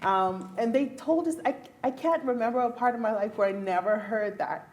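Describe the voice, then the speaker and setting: A woman's voice: fairly high pitch, moderate pace, a little mellow. A woman from the Bahamas, a neurologist, speaking earnestly on a panel at a luncheon and laughing now and then. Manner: earnest, emphatic, laughs often. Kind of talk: panel discussion answer. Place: luncheon event hall.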